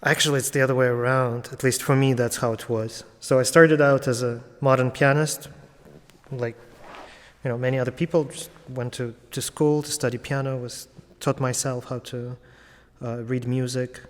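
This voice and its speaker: Man, 30s, from latvia, thoughtful, hesitant, mid-pitched, long reverb. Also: steady tone, normal speed. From Latvia, raised in Ukraine. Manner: thoughtful, with frequent fillers and restarts. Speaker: guest, classical pianist. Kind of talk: casual interview chat.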